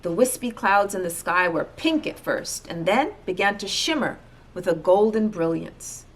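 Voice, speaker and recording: A woman's voice: medium pitch, lively intonation, moderate pace. Woman narrating a children's story. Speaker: storybook reader.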